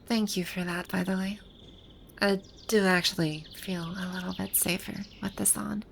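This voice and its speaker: A woman playing a proud, teasing video game villainess come to life, speaking softly in an intimate ASMR roleplay. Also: mid-range voice, steady tone, normal speed, a little hiss or hum, natural voice. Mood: shy, vulnerable. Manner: smug, teasing, soft-spoken with breathy asides. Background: birdsong and outdoor ambience sound effects.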